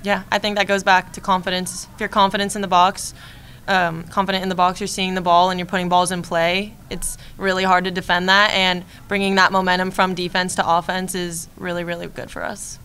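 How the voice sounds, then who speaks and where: A young woman in her twenties, a college softball catcher, answering postgame press questions at a desk mic, calm and steady, repeating key phrases. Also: lowish voice, a little fast, slight background noise. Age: 20s. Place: press room in front of a team backdrop. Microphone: desk mic on a stand.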